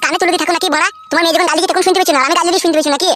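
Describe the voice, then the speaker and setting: A man voicing a whiny, hot-headed young village husband in a comic cartoon, complaining crudely and excitably. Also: extremely high pitch, racing, soft background music. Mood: angry complaining.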